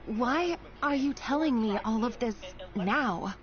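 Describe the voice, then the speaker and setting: A woman's voice: on the high side, animated, takes it slowly. An actress playing a conflicted but resolute young woman with a hidden past, speaking earnestly in a dramatic video game style. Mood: shocked, indignant. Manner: earnest, theatrical. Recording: slightly dull game audio with music.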